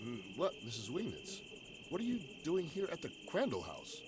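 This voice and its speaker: An actor playing a bewildered, impatient gentleman in 1940s radio horror style, questioning everything in an exasperated, emphatic way. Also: on the high side, wildly swooping pitch, normal speed, faint music behind. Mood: suspicious.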